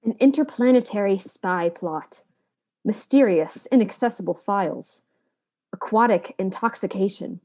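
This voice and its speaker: An actress playing the narrator of a space mystery serial, with deliberate, dramatic announcing. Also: female voice, mid-range voice, a little mellow, lightly acted. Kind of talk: scripted narration.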